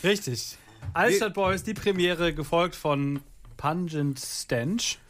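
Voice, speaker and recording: A man's voice: fairly high pitch, slow, very noisy. A man hosting a radio show in a casual, rambling and playfully ironic way. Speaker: radio host. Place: radio studio.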